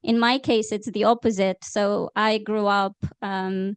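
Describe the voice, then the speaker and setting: A woman, a Romanian speaker speaking as a journalist on a discussion panel, measured and thoughtful. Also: mid-range voice, fairly even intonation, clear, resonant tone, dry recording. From Moldova. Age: 30s.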